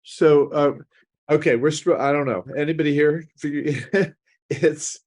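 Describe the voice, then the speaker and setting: A man speaking as an investor judge, questioning casually in a halting, puzzled way. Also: fairly high pitch, fairly even intonation, a little mellow.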